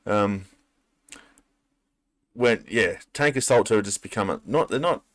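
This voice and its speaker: Low-voiced man giving casual commentary. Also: expressive, measured pace, fairly bright tone, dry recording. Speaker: reaction video host.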